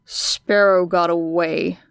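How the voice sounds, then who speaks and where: A woman voicing a tired, gruff grown man who talks in curt, clipped lines. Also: fairly low pitch, steady tone, takes it slowly, dry recording, clean studio sound.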